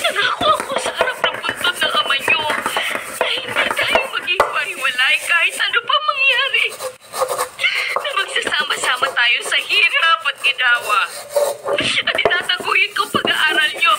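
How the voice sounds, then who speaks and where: A woman's voice: very high-pitched, sing-song, moderate pace, loud music behind the voice. A woman playing a proud, protective widowed mother in a radio drama, emotional and earnest. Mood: tearful pleading. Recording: very noisy radio drama recording.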